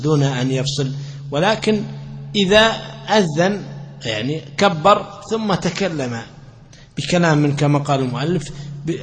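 A man giving an explanatory religious lecture on a classical legal text, repeating phrases for emphasis as he teaches. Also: on the high side. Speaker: religious teacher of Islamic law.

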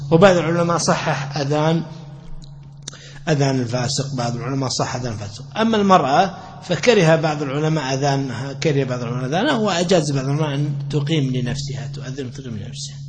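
Higher-voiced man teaching, repeating phrases for emphasis. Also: fast talker, a little hiss or hum. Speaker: religious teacher of Islamic law. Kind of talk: explanatory lecture on a classical text.

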